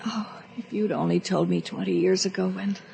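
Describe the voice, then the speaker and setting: A woman's voice: medium pitch, fairly even intonation, a little fast, vocal fry, dark tone, slight background noise, rough recording. An actress in a radio drama playing a weary, frightened, guilt-ridden woman with a fearful way of exclaiming. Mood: anxious.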